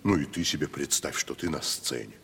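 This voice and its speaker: A man's voice: extremely low pitch, expressive, a little fast, vocal fry, a bit bright, breathy edge, full character voice. An actor playing a pompous, down-at-heel provincial tragedian who talks down to his companion in a classical radio comedy. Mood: scornful. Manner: patronising, grandly condescending. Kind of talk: Russian classical stage comedy, radio play. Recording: reverberant 1970s radio drama recording.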